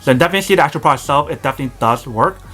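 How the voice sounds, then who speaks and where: Man, mid-pitched, rattles through a hands-on gadget review at a rapid clip, casual, repetitive filler phrasing. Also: lively intonation, a bit bright, faint music behind. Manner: rapid, repetitive filler phrasing, addresses viewers as "you guys". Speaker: product reviewer. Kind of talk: casual product demo narration.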